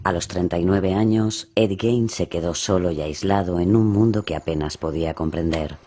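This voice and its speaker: A man's voice: low-pitched, normal speed, dark tone. A man reading scripted, dramatic true-crime narration as the narrator of a radio horror play. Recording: music behind the voice.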